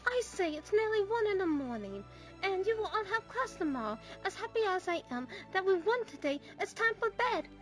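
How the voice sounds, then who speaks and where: Stern boarding school professor, female actor, very high voice, formal, clipped, exasperated. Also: very animated, moderate pace, fairly bright tone, faint music behind, heavily acted. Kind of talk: fan audio drama acting.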